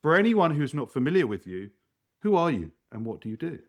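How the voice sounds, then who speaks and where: A man's voice: a little high, big pitch swings, a little fast. Male podcast host reading an intro. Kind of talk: scripted introduction and interview questions.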